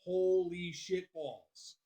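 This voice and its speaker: A man's voice: high voice, steady tone, very slow, warm tone. A man hosting a live stream, chatting loosely with viewers and swearing freely as he answers their comments. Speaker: livestream host.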